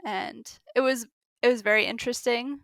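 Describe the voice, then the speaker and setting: A woman on a book podcast panel, chatting casually and haltingly as she thinks aloud. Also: fairly high pitch, fairly even intonation, a bit bright, dry recording, clean studio sound.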